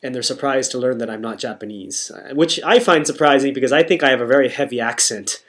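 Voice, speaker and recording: A young man with an American accent talks straight to camera in an easygoing, unscripted monologue. His voice sits at an ordinary middle pitch. He stops and restarts sentences often, circling back to repeat words before pressing on. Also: fairly bright tone. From United States. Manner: halting, self-interrupting, frequent restarts. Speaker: vlogger.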